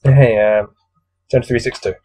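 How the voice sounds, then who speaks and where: A man's voice: mid-range voice, steady tone, normal speed, mellow. A man hosting a gamebook read-through, mixing read-aloud narration with casual, bored and exasperated commentary. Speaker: host reading a gamebook aloud.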